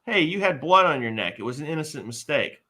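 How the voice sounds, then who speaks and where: A man's voice: a little high, sing-song, quick pace, sharp tone, heavily acted. A man playing a bumbling, boastful, eager sidekick with blustering false bravado at a live fantasy table read. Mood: sheepish.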